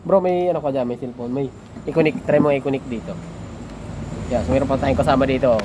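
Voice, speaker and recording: A man's voice: medium pitch, fast, warm tone. A man with a Filipino accent hosts a hands-on tutorial in casual, informal chat, addressing viewers like buddies. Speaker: tutorial host. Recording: noisy vehicle and street ambience.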